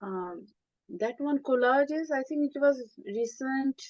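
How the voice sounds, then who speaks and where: A woman from Bangladesh, a native Bengali speaker, talking as a guest in an interview, hesitant with many pauses and fillers. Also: high voice, darkish tone, dry recording, dull audio. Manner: hesitant, many ums, halting phrasing. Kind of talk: interview conversation.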